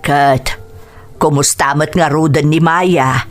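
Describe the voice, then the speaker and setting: An actress playing a concerned older mother gently reproaching her grown son, serious, with an Ilocano accent in radio drama. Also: low voice, steady tone, takes it slowly, soft background music. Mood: reproachful.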